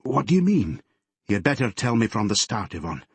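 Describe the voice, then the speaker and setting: A man's voice: fairly low pitch, big pitch swings, husky, no room sound, full character voice. A man playing a stern detective father. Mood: concerned, firm. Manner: firm, measured, controlled. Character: strict, stern middle-aged police detective father.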